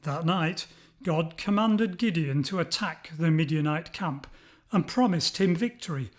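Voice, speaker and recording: Slow, high-voiced male storyteller. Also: expressive. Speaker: children's storyteller. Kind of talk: expressive scripted story narration.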